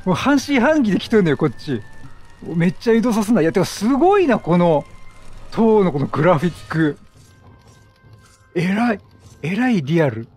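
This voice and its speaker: Animated higher-voiced gamer man, husky edge. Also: normal speed, long pauses. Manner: animated, talkative, exclaims and talks back to the game. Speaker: gaming YouTuber. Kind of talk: chatty video game let's play commentary. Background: game music.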